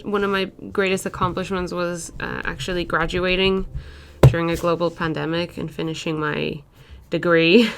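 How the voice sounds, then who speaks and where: Low-voiced young woman chatting while sketching. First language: Portuguese. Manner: chatty, rambling, fillers like "like" and "uh". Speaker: art vlogger. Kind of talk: casual voice-over while sketching.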